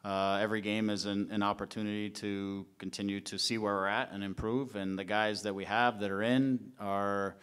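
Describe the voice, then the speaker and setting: A man in his forties, a head coach, answering questions at a press conference table mic, in a measured way with many fillers and restarts. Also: lowish voice, little pitch movement, a bit bright, clean tone. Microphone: table mic in front of him.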